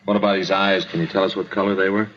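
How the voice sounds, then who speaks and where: A muffled 1950s radio recording of a policeman. He has a low, flat voice and puts his questions politely and in a businesslike, procedural manner. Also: fast, a little hiss or hum. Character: businesslike male police officer questioning a victim. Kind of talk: 1950s radio drama acting.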